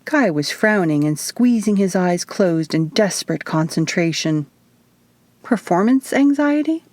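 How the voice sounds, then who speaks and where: A woman's voice: fairly low pitch, measured pace, darkish tone, no room sound. A woman narrating first-person fiction as an audiobook reader, in a scripted, storytelling style.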